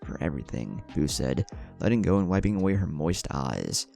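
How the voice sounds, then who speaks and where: A man's voice: sits low, lively intonation, moderate pace, gravelly, darkish tone, soft background music. A man reading a scripted fan fiction story as its narrator, in audiobook style.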